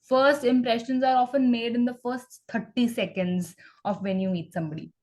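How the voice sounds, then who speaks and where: Female English trainer, measured, encouraging, conversational. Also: on the high side, a little mellow. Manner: measured and encouraging, tags points with "right?". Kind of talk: conversational interview practice.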